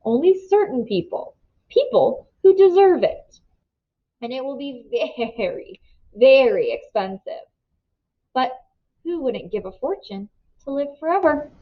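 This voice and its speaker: Woman narrator as smug storybook gentleman villain, greedy, petulant, lively swooping intonation, slightly dull recording. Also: a little slow, full character voice. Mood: excited, smug. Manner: smooth talk turning higher, petulant and shrill. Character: smug, greedy man with a thin, petulant politeness.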